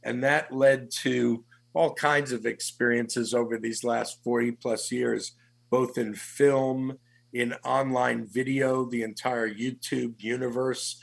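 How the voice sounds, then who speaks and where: A man in his sixties answering interview questions as a guest, measured and listing things in steady runs. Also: medium pitch, gravelly. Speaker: guest, executive search professional.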